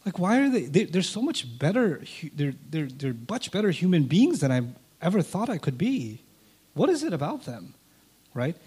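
A man's voice: a little high, moderate pace, slightly rough. A man whose first language is Urdu, a guest telling a personal story and acting out past conversations. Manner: animated, acts out past conversations. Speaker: interview guest.

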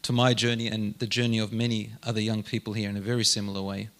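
A man's voice: fairly low pitch, little pitch movement, fast, a bit bright. A man hosting an interview, asking probing questions and affirming his guest. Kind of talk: conversational interview.

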